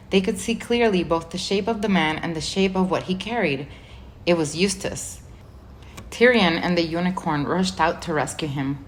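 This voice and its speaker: A woman reading a children's book chapter aloud as a volunteer reader, in a measured, clear way. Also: deep voice, a little hiss or hum. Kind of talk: storybook read-aloud narration.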